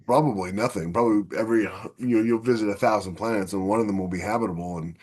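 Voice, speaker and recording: Low-voiced man chatting, a little halting. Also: darkish tone. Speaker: podcast host and author. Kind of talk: casual discussion.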